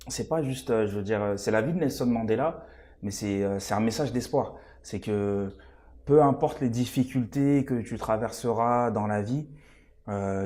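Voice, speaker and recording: Earnest young man with a low voice. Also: dark tone. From Congo-Kinshasa, raised in France. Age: 20s. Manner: earnest, hesitant, many fillers and repeated words. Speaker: stage actor, interview guest. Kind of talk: casual interview answers.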